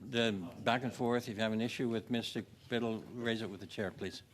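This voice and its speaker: A man chairing a formal meeting, politely admonishing in a halting, hesitant way. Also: medium pitch, fairly even intonation. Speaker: committee chair. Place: committee meeting room. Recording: clear background noise.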